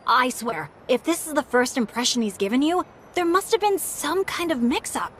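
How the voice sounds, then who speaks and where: A woman's voice: sits high, expressive, moderate pace, fairly bright tone, slight background noise. A woman playing an earnest, upbeat teenage boy adventurer in an anime game, eager and sincere. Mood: defensive.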